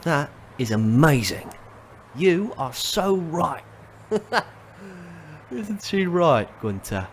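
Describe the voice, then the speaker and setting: An actor playing a leering, fake-friendly street predator in an audio drama, with a mocking drawl. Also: male voice, fairly high pitch, sing-song, very slow, slight background noise, full character voice. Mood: sly, mock amazed.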